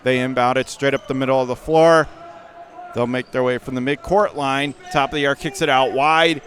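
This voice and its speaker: An American man calling live basketball play-by-play for radio in clipped phrases that rise with the action, from a high school gym. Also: normal speed. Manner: rattles off clipped phrases, rising to excited calls on plays. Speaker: play-by-play commentator. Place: indoor high school gymnasium.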